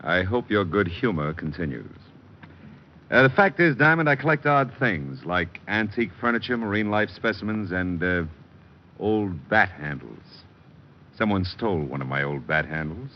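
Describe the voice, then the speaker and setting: An actor playing a coldly polite, sardonic crime boss in an old-time radio detective drama. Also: male voice, sits low, lively intonation, moderate pace. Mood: suave, sardonic. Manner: mannered, sardonic politeness turning commanding. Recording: dull old radio recording, faint noise.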